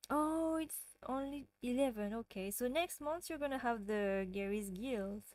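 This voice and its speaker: A woman's voice: a little high, measured pace, a little mellow, no echo. A woman from France with a French accent, hosting a casual livestream and chatting with viewers, often giggling. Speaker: livestream host.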